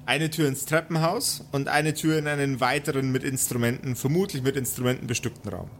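A man's voice: medium pitch, fairly quick, a little hiss or hum. A man acting as game master, improvising scene descriptions and banter for a tabletop role-playing game, with a dramatic way of setting scenes. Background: music.

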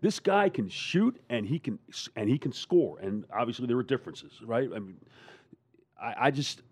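A man's voice: medium pitch, expressive, normal speed, husky, dry recording. A man who works as a radio broadcaster, talking emphatically in a sports roundtable and repeating phrases for stress.

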